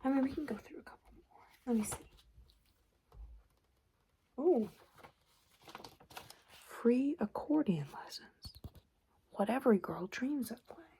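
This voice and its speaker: A woman speaking softly and breathily in an ASMR roleplay, often slipping into a whisper, chatty and a little hesitant. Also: a little high, expressive, measured pace, long pauses. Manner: hesitant, chatty, murmured reading aloud. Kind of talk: soft-spoken ASMR roleplay. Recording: noticeable background noise. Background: paper and tapping sounds.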